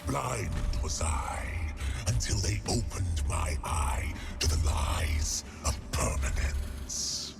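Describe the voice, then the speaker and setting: Ancient beast god for a game, super slow gravelly growl, sneering and menacing, loud music under it. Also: male voice, lowish voice, animated, heavily acted. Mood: bitter, fervent. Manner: slow, grandiose, menacing delivery. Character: ancient male beast god, cunning and contemptuous. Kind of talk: theatrical video game character acting.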